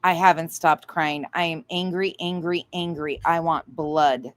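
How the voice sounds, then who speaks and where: A woman's voice: low voice, steady tone, a little slow. A woman hosting a livestream, reacting and chatting to viewers in a blunt, indignant, sweary way. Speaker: livestream host.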